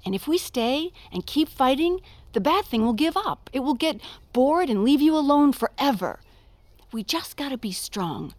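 A woman playing an anxious, coaxing, protective mother in an audio drama, often dropping to a hushed tone. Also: high-pitched, sing-song, moderate pace, no room sound. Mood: determined.

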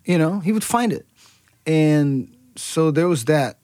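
A man's voice: fairly high pitch, lively intonation, measured pace, a little mellow, no echo. Rambling Brazilian man, dry sound. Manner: rambling, many restarts and fillers. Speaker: musician guest. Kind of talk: casual interview chat.

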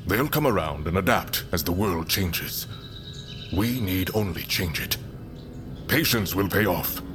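An actor playing a stern, measured middle-aged patriarch who rules a fantasy mountain council, speaking formally and deliberately. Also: male voice, low voice, expressive, music in the background. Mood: measured, reasoning. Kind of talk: fantasy audio drama acting.

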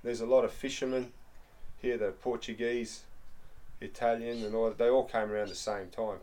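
A man's voice: medium pitch, little pitch movement, moderate pace, mellow. A middle-aged man chatting casually, explaining things at length with lots of restarts. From Australia. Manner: rambling, explains with many fillers and restarts. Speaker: interviewee. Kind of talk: casual conversation.

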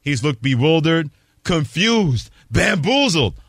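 A man's voice: fairly high pitch, big pitch swings, slow pace, vocal fry, a bit bright, no echo, clean studio sound. An American man hosting a sports talk radio show with opinionated banter, emphatic and fond of piling up phrases.

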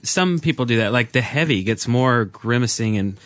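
A man's voice: mid-range voice, lively intonation, moderate pace, no echo, clean studio sound. Man with mid-range voice, chatting casually. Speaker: podcast co-host. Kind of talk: casual chat.

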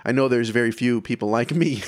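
A man's voice: mid-range voice, fairly quick, no echo, clean studio sound. A man speaking as a music podcast host and interviewer in a casual, conversational style.

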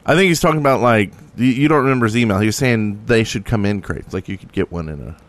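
A man's voice: fairly low pitch, fast. A man co-hosting a podcast, chatting in a rambling, matter-of-fact way. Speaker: podcast co-host. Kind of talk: casual podcast chat.

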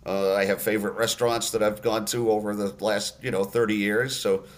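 A man in his sixties from Massachusetts, a radio announcer telling stories in a podcast interview with many "uh" pauses. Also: on the low side. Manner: relaxed storyteller, many "uh" pauses.

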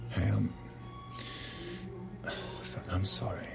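A man in middle age playing an earnest, flustered husband in a naturalistic audio drama, halting and self-deprecating as he pleads. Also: loud music behind the voice, natural voice. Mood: embarrassed. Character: earnest, flustered husband trying hard at romance.

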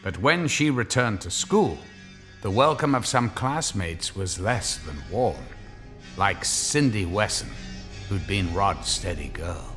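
A man's voice: on the low side, animated, takes it slowly, a bit bright, faint music behind. An American man delivering scripted dramatic narration for an audio drama.

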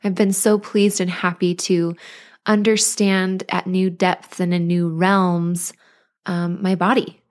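A woman's voice: fairly low pitch, little pitch movement, slow. A woman in her thirties, a mother and life coach, hosting her own podcast in an earnest, bubbly, chatty way. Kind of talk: casual solo podcast monologue.

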